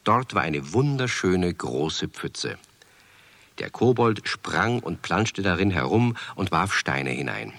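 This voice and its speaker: Deep, expressive male storyteller. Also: takes it slowly, dry recording. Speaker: narrator. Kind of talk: scripted children's story narration.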